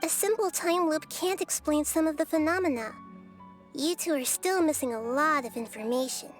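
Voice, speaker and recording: A woman voicing a childlike young goddess in a video game, composed, cryptic and gently instructive. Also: high-pitched, moderate pace, faint music behind, lightly acted. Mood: calm, cryptic. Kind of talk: video game character dialogue.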